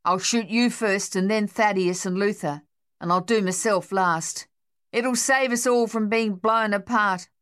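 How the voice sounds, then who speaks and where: A woman's voice: mid-range voice, unhurried, a bit bright, close to an everyday voice. A woman voicing a desperate, shell-shocked young male Australian soldier in an audiobook, talking in a shaky, pleading, rambling way with an Australian accent.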